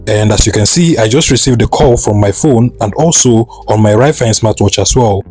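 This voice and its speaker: Male tutorial presenter, lowish voice, instructional step-by-step delivery over background music. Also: moderate pace, a little mellow, husky. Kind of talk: scripted step-by-step tutorial narration.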